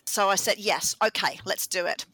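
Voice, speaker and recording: A woman with a mixed English and Australian accent, a radio show host chatting in an interview, telling her story in an animated way with quick restarts. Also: mid-range voice, slight background noise. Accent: mix of English and Australian. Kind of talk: conversational interview answer.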